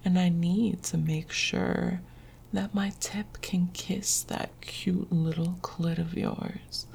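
A woman voicing a tender but dominant male lover in an intimate audio roleplay, speaking in a breathy, hushed whisper that is coaxing and possessive. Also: on the low side, fairly even intonation, slow pace, heavily acted.

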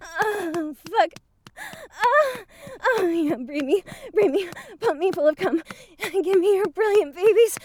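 A woman playing an eager adult university student in an intimate audio roleplay, breathy and pleading. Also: very high-pitched, lively intonation, takes it slowly, darkish tone, a little hiss or hum, exaggerated voice. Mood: breathless pleading.

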